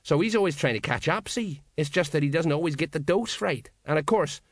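A man voicing a gloomy, plain-spoken older watch sergeant, flat and colloquial, in a storybook reading. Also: fairly high pitch, brisk, dry recording, clean studio sound, pitched above the performer's own voice. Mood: glum explaining.

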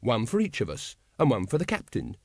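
A man's voice: mid-range voice, big pitch swings, brisk, gravelly, a little mellow, no echo, studio quality. A man voicing an earnest, literal-minded young watchman, polite, eager and guileless, in a storybook reading. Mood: proud, cheerful.

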